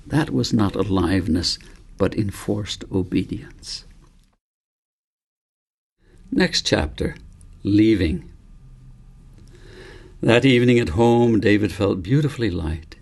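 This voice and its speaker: A man reading his own novel aloud as story narration, in a measured, deliberate way. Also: lowish voice, vocal fry, a little mellow.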